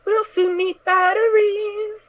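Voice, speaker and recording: A woman voicing a cheerful, naive little pony filly in an audio drama, with a squeaky, childlike, excitable way of talking. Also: slow pace. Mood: playful, stubborn. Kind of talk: fan audio drama character acting. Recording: thin, phone-like narrow sound.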